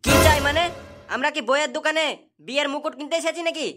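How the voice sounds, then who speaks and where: A woman's voice: high-pitched, fairly even intonation, quick pace, music in the background, lightly acted. A woman voicing a sassy young schoolboy customer in a comedy cartoon, with indignant, mocking delivery. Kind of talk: comic cartoon dubbing.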